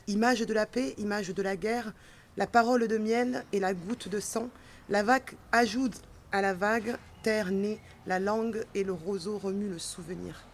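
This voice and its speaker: A woman recites poetry to a live gathering. Her pitch is middling for a woman. She reads line by line with measured care. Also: steady tone, slight background noise. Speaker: poetry reader and translator. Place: outdoors at a gallery event.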